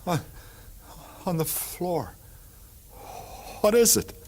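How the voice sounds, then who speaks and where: An actor playing a nervous, slangy ambulance attendant in an old-time radio horror drama. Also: male voice, high-pitched, sing-song, drawn-out words, darkish tone, heavily acted. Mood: panicked horror. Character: uneasy, slangy male ambulance attendant, easily spooked. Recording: very noisy vintage radio recording.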